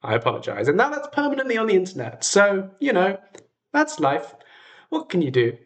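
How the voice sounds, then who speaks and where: A man's voice: sits high, huge pitch swings, brisk. A man with a runny nose hosting a casual livestream, talking in a chatty, self-deprecating way. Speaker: livestream host and audio drama maker.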